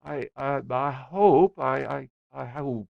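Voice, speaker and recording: A man's voice: medium pitch, creaky, no echo, phone-quality sound, pitched above the performer's own voice. A man voicing a bashful, lovesick young man who stammers and halts. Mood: flustered stammering. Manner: stammering, halting with fillers.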